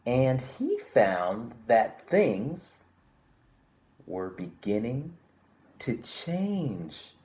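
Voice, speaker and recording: A man's voice: medium pitch, wildly swooping pitch, extremely slow, warm tone, a little hiss or hum. A man reading a picture book aloud to children with a polite, theatrical delivery and chatty asides. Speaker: library storytime presenter.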